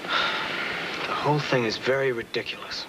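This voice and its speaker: A disdainful young man an actor plays a sullen teenage boyfriend in a 1950s film. His delivery is deliberate and scornful, over a very noisy old soundtrack. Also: medium pitch, close to an everyday voice.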